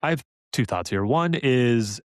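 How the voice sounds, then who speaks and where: A man co-hosting a casual fan podcast, talking excitedly and pitching ideas with laughs. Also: unhurried, no echo, studio quality. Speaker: podcast co-host.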